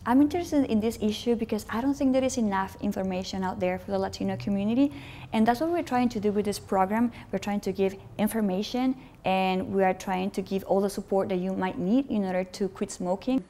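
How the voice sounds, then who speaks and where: A woman's voice: medium pitch, a little fast, mellow, slight background noise. A woman from Mexico, a business owner and actress, answering interview questions in a matter-of-fact way. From Sinaloa, Mexico.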